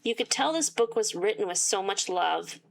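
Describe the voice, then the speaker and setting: A woman reading a visual novel aloud with sarcastic asides, her voice getting worn and hoarse. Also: mid-range voice, lively intonation, moderate pace, slight background noise. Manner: reads game text aloud and breaks off with sarcastic asides. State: losing her voice. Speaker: gaming YouTuber.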